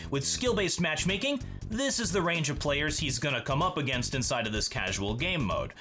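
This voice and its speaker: A man giving clear, methodical explanatory narration for a gaming video. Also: a little high, sing-song, normal speed, over music.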